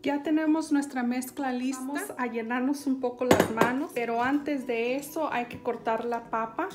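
A woman hosting a home cooking show, calmly walking viewers through each step. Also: high voice, normal speed, loud music behind the voice. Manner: calm step-by-step instruction, addressing viewers.

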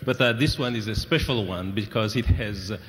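A man who is a doctor, giving a short hesitant thank-you speech on receiving an award. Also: fairly low pitch. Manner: hesitant, many pauses and fillers. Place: large convention hall. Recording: echoey convention hall, 1990s video.

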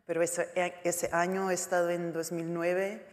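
A woman speaking in her second language with a Portuguese accent, a writer on a panel, halting and searching for words. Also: lowish voice, flat delivery. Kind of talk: panel talk. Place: lecture hall. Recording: long hall reverb.

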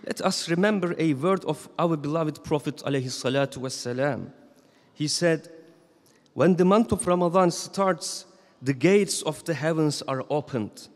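A man in his thirties giving a Friday sermon as imam, reading from notes in a measured, solemn way at a stand microphone in a large mosque hall. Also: fairly high pitch, darkish tone. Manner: measured, solemn reading with slow emphatic phrases. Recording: long reverb of a mosque hall.

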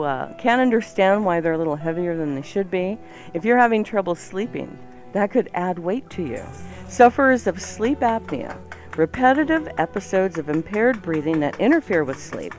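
Lively radio co-host with swooping pitch. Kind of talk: chatty, joking radio banter and item reading.

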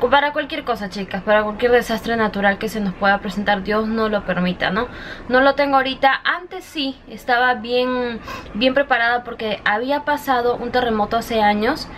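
High-voiced young woman vlogging. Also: a bit bright. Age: young adult. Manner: chatty, often addressing viewers directly. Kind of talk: casual confiding vlog chat. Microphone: camera at arm's length. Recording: clear background noise, handheld camera.

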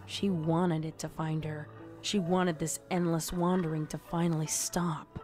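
A woman narrating a scripted horror story in a measured, dramatic storytelling manner. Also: sits low, level tone, a little mellow, faint music behind.

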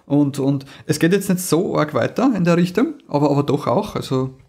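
A man, co-hosting a podcast in casual chat, rambling and halting as he talks. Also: on the high side, lively intonation, a little mellow. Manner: rambling, halting, lots of fillers. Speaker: podcast co-host.

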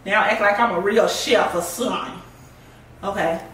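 A woman's voice: medium pitch, fairly even intonation, moderate pace, noisy background. A woman in her thirties hosting a casual cooking vlog in her home kitchen, chatty and playful, talking to viewers as y'all. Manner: playful, chatty, sing-song exclamations, addresses viewers as y'all. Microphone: far camera on tripod. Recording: roomy kitchen audio from a distant camera.

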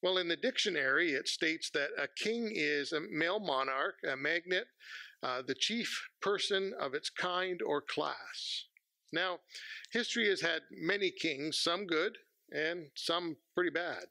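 A man preaches from a pulpit in a slightly high, gravelly voice. His tone is bright and forceful, and the sound is dry and close on a headset mic. He speaks in a measured, earnest way, pausing between phrases. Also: no room sound. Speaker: pastor. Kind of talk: sermon. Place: church sanctuary, at a pulpit.

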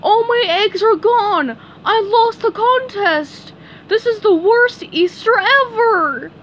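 A woman acts out a boisterous, childishly eager male cartoon starfish. The voice is loud and high with big swoops, told storybook style over faint noise. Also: big pitch swings, unhurried, muffled recording, exaggerated voice. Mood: crying.

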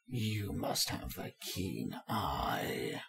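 Creaky, whispering, crawling-slow villain. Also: male voice, deep voice, very animated, fairly bright tone, soft background music, exaggerated voice. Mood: gloating menace. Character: menacing male villain Scarecrow, slow, sinister, gloating. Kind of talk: audio drama villain acting.

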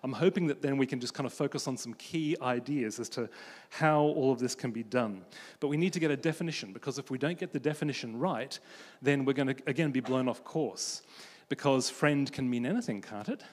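A man from Australia giving a conference talk about faith and friendship, telling stories in an animated way as he moves around a large stage with a handheld mic. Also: mid-range voice, brisk. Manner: animated storytelling, pacing the stage. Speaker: conference speaker. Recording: long hall reverb.

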